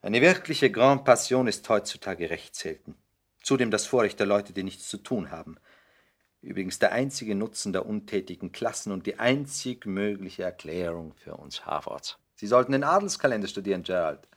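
Ein Schauspieler spielt in einem Hörspiel der 1960er einen zynischen englischen Aristokraten, geschliffen, weltgewandt und herablassend. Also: male voice, fairly low pitch, animated, normal speed. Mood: witty, cynical. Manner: polished, epigrammatic, worldly, condescending. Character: suave, cynical English aristocrat dandy, lecturing a young man. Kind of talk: 1960s German radio play acting.

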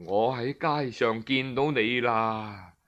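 A male storyteller acts out a coachman's sharp-tongued wife in a Cantonese folk tale. The scolding is low and measured, each phrase weighted with scorn and shame. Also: lo-fi sound. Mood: scornful reproach. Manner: reproachful, deliberate, emphatic.

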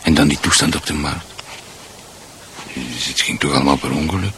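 An actor playing a bewildered, meekly polite husband losing his grip in a radio drama, muttering haltingly and repeating himself. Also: a bass voice, a bit bright, a little hiss or hum. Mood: muttering, puzzled.

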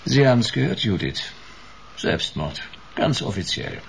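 A man's voice: fairly low pitch, sing-song, unhurried, creaky, slight background noise, full character voice. A middle-aged man playing a brusque, sardonic private detective in German radio play dialogue. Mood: cynical, dry. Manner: sardonic, curt, dry. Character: cynical, brusque male private detective in his office.

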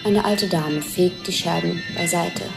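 A woman with a fairly low voice gives observational commentary, describing scenes one by one. Music runs underneath her speech. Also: measured pace, gravelly.

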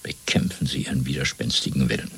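An actor playing a coldly domineering party interrogator in a German radio play, lecturing in a measured, zealous way with a gravelly, husky voice. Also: male voice, a bass voice, flat delivery, heavily acted. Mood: cold, controlled. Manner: measured, lecturing, swelling from hushed to fervent.

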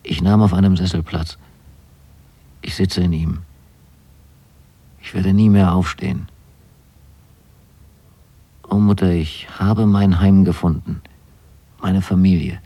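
An actor playing an earnest, rapturous son in a radio play, narrating a letter to his mother in measured literary phrases. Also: low voice, fairly even intonation, mellow, heavily acted. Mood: dreamy, contented.

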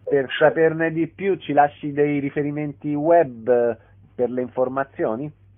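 A man's voice: fairly high pitch, steady tone, unhurried, phone-quality sound. A man hosting a radio interview and presenting event listings. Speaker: radio host.

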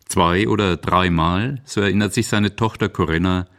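Deep male narrator voice, scripted radio documentary type read. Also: normal speed, a bit bright.

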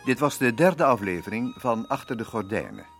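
A man's voice: medium pitch, lively intonation, normal speed, fairly bright tone. A man reading scripted closing credits as a radio announcer. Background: faint harmonica music.

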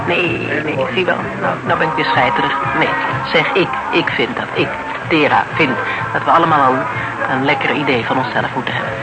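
A woman's voice: sits low, natural voice, rough recording. Actress as a candid, musing woman over prominent music. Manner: hesitant, musing, self-correcting. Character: principled, candid, reflective old-fashioned woman. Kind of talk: naturalistic radio drama dialogue.

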